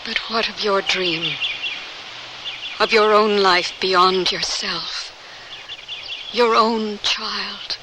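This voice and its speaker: An actress playing a grave, anguished woman in love in a radio drama, halting and emotional. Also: mid-range voice, steady tone, background noise. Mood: tender, moved.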